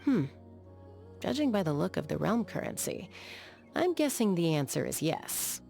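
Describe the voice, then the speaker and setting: Game role: battle-hardened young female sky gunslinger. Lower-than-average pitch, calm, matter-of-fact delivery over background music. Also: moderate pace, gravelly. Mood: thoughtful. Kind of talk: video game character voice lines.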